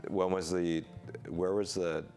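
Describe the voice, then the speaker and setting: A man hosting a casual interview chat, asking halting questions with many restarts and affirming his guest. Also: sits low, flat delivery, a little mellow, clean tone, soft background music. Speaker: host. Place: studio set made to look like a porch.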